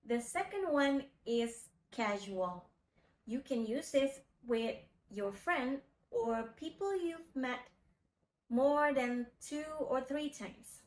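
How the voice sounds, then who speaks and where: Slow, deliberate woman teacher. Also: sits high, animated, reverberant, low-quality recording. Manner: slow, deliberate, demonstrating phrases. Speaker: language teacher. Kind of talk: scripted video lesson. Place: indoor studio with cloth backdrop. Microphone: lapel mic.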